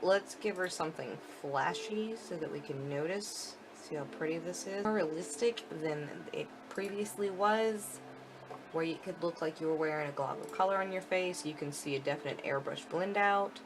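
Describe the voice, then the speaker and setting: A woman's voice: sits low, normal speed, background noise. A woman giving a chatty, informal live walkthrough commentary of a video game, explaining as she goes. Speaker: video game demo presenter.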